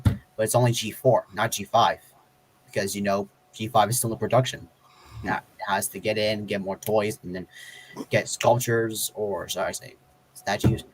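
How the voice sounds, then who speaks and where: Halting low-voiced man chatting. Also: a little hiss or hum, poor audio. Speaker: chat guest. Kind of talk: casual chat.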